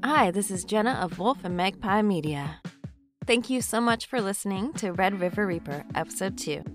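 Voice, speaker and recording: A woman's voice: medium pitch, lively intonation, normal speed. A woman giving an informal, chatty outro to listeners as the producer of an audio drama. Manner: casual, chatty. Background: music.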